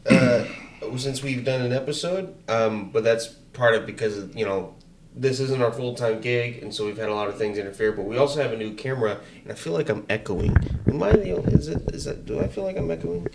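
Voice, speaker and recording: A man co-hosting a casual political podcast, talking in energetic bursts with frequent restarts. He has a higher voice than his co-host. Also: a little fast, gravelly, a little hiss or hum, poor audio. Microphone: headset mic with headphones.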